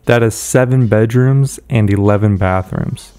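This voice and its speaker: Smooth-voiced man narrating a house tour. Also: on the low side, a little slow, dark tone, faint music behind. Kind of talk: scripted narration.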